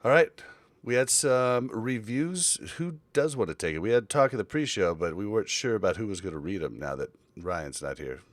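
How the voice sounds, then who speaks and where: A man's voice: fairly low pitch, animated, moderate pace, no room sound. A man hosting a casual podcast chat, rambling along with frequent fillers and restarts. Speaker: podcast host.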